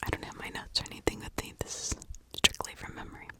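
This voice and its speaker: A woman doing ASMR true-crime storytelling in a breathy whisper, chatting from memory with dramatic emphasis on key words. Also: quick pace. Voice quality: breathy, unvoiced whisper with creaky edges.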